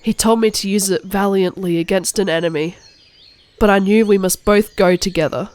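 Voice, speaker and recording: A woman's voice: mid-range voice, steady tone, normal speed, darkish tone, no echo. A woman narrating a cartoon story in the first person as a melodramatic, angsty goth teenage girl.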